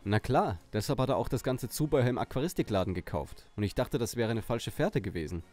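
A man's voice: mid-range voice, lively intonation, quick pace, darkish tone, soft background music, close to an everyday voice. A man playing an eager young amateur detective in a parody of a youth mystery audio play. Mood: puzzled.

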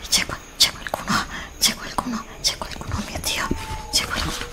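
A woman voicing a frightened, weary, distrustful heroine lost in a forest in an ASMR roleplay, breathy, plaintive and hesitant, close to the mic. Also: moderate pace, natural voice. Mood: fearful, pleading. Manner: whispered, breathy, plaintive, hesitant with repeated phrases. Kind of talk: ASMR roleplay, whispered acting. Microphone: close desktop USB microphone.

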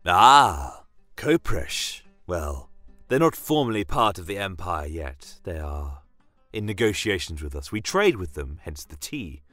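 Man playing a dignified, deep-voiced commander. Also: very animated, a bit bright, no room sound, soft background music, heavily acted. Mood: explanatory. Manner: formal, deliberate. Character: courteous, dignified male military commander of a desert empire.

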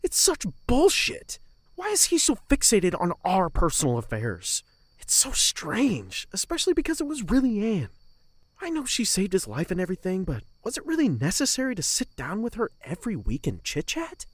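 An actor playing a heartbroken, bitter young servant in an audio drama, moving between hushed hurt and emotional outburst. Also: male voice, high-pitched, huge pitch swings, normal speed, dry recording, full character voice. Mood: angry, anguished.

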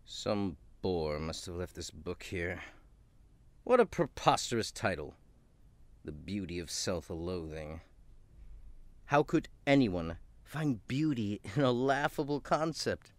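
An actor playing an arrogant, lonely wealthy executive in a radio drama, sneering and bitter. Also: male voice, deep voice, sing-song, slow pace, no room sound, heavily acted. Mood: scornful. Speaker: rich executive character.

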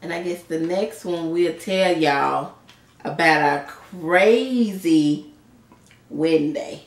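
A young woman telling a story in a casual, chatty, animated way, acting out what was said. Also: low voice, takes it slowly. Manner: animated storytelling, chatty, acts out quoted lines. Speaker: vlogger, channel host. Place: living room couch, indoors. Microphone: camera a little way off. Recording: roomy sound, distant camera mic.

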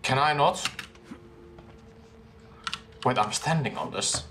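A man's voice: mid-range voice, animated, slow pace, background noise. A young man giving casual, reactive commentary on a horror game, exclaiming and repeating short phrases, recorded in a room at home. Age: young adult. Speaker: gaming video creator playing a horror game. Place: small room at home, indoors.

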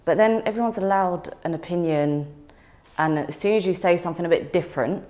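Low-voiced young woman, frank and relaxed. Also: fairly quick. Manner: frank, relaxed, laughs easily, many ums. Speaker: interviewee. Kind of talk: casual interview chat.

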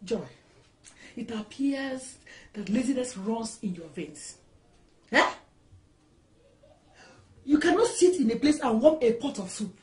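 A woman's voice: high voice, expressive, measured pace, pauses often, darkish tone, reverberant, low-quality recording. A woman playing an easily angered older mother-in-law in a film drama, with a Nigerian accent and a sarcastic, accusing manner. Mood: scolding, sarcastic. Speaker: actress.

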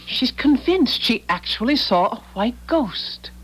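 Husky-voiced, sympathetic wife. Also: female voice, on the high side, very animated, unhurried, exaggerated voice. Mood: wondering sympathy. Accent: American. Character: gentle, sympathetic wife, earnest and concerned. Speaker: radio drama actor. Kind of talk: 1970s American radio drama acting.

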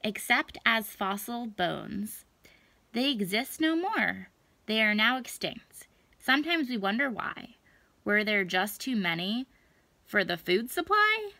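A young adult woman reading a picture book aloud to children, expressive and deliberate, stretching words for emphasis. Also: mid-range voice, vocal fry, no room sound. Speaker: children's story time reader. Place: small indoor room at home.

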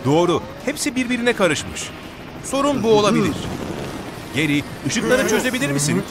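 A man's voice: high-pitched, very animated, moderate pace, noisy background. A man voicing a cheerful, encouraging helper in a dubbed children's cartoon, asking questions and praising the vehicles. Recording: studio voice under loud music.

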